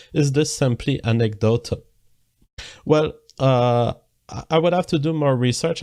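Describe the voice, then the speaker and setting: A man hosting a livestream, reading viewer comments and donations aloud and then answering them in a measured way. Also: mid-range voice, a little mellow. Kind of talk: casual livestream talk.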